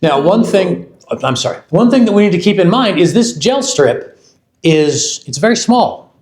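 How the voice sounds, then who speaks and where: A man's voice: sits high, sing-song, moderate pace, darkish tone. A man in his fifties, from the United States, giving a conversational product presentation to a live audience, often restarting phrases and stressing each item in his lists. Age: 50s. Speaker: product development executive presenting at a launch event. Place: event hall.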